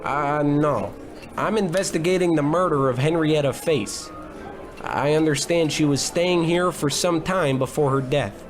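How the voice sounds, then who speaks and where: An actor playing a wisecracking, cheap private detective with a deadpan, hard-boiled delivery in 1940s radio noir parody. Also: male voice, a little high, unhurried, music in the background, lightly acted. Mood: deadpan questioning. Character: wisecracking, down-on-his-luck male private detective.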